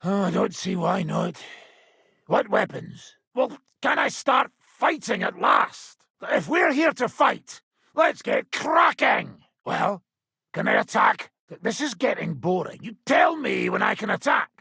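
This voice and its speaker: An actor playing a brash, hard-drinking medieval fighter and combat trainer in a video game, gruff and taunting, with a raspy, gravelly voice. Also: male voice, high voice, sing-song, a little slow, sharp tone, dry recording, studio quality, full character voice. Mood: impatient, combative.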